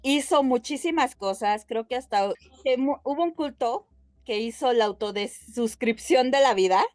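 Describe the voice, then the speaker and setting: A woman's voice: fairly high pitch, moderate pace, a little mellow, no room sound, studio quality. A woman co-hosting a podcast, explaining things casually and addressing listeners directly. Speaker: podcast co-host.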